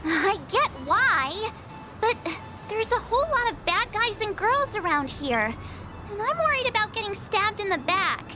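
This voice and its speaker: A woman voicing a cute, spirited, impulsive young girl in a video game story, with expressive delivery. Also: very high voice, unhurried, full character voice. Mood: worried, indignant. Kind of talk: scripted game story dialogue. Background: background music.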